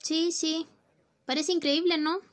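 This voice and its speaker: A woman's voice: very high voice, fairly even intonation, a little slow. A woman in a student radio play acting a distracted, teasing housewife, with an exaggerated, sing-song delivery. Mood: chatty. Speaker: radio drama actor.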